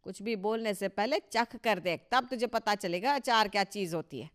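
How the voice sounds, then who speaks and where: A woman's voice: medium pitch, moderate pace, natural voice. A woman playing a proud, touchy older mother-in-law in a Hindi cartoon story, emphatic and boastful with a scolding edge. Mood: boastful, indignant.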